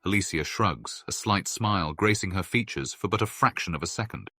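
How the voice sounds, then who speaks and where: A man narrating a fantasy game quest as a storyteller, in measured, literary sentences. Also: low voice, expressive, bright, forceful tone, slightly rough, no echo, clean studio sound, heavily acted. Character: male second-person storyteller of a fantasy quest.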